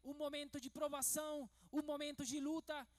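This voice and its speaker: A man from Venezuela, preaching a sermon as pastor in a measured way that turns emphatic. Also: very high-pitched, flat delivery.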